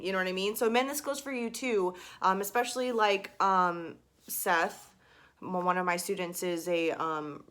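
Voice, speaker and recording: A young adult woman voice teacher talks through warm-up tips in a casual, filler-heavy way. A slight gravel shows in her lower register as she demonstrates exercises. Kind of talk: casual video lesson.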